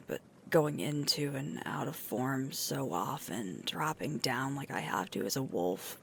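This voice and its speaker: A woman playing a sweet, tired, affectionate werewolf girlfriend in an intimate ASMR roleplay, speaking softly with a breathy, creaky voice. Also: very deep voice, a little hiss or hum, exaggerated voice. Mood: weary, aching. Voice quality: breathy, creaky, often whispering.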